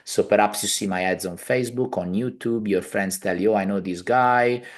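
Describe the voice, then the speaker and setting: Low-voiced Italian man explaining. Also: normal speed. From Italy. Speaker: podcast guest, healer, family constellations practitioner. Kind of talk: conversational interview explanation. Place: living room at home, indoors, video call.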